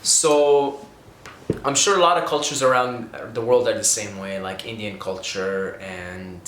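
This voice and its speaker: A man's voice: fairly low pitch, steady tone, measured pace, noisy background. A young man from Syria, talking casually to camera with money tips, rambling and earnest, often stopping to restart and adding "okay?". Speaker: vlogger giving money tips. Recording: echoey room.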